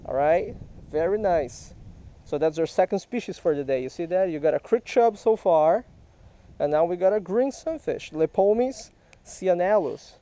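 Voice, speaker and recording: Guy teaching a kid to fish by a creek, high-ish voice, very mellow, patient and quizzing. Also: lively intonation, normal speed. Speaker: fishing vlogger. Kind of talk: casual teaching chat while fishing. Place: outdoors by a small creek in a park. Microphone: head-mounted action camera.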